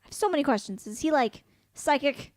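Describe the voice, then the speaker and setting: A woman's voice: high-pitched, animated, brisk. A woman giving casual, exclamatory reaction commentary as she co-hosts a video game playthrough. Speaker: let's play co-host, first-time player. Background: video game music.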